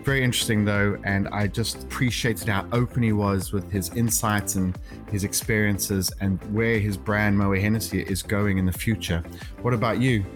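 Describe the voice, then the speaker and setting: A man's voice: lowish voice, steady tone, normal speed, over music. A man hosting a podcast in a conversational style, introducing and questioning as he goes. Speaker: podcast host.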